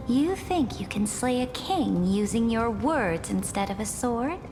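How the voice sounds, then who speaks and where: A woman's voice: on the high side, animated, takes it slowly. A woman playing a proud, ruthless conqueror emperor in a video game, speaking in grand, commanding, theatrical declarations. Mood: mocking challenge. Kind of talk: dramatic video game voice acting. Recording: long reverberant hall. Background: clear music.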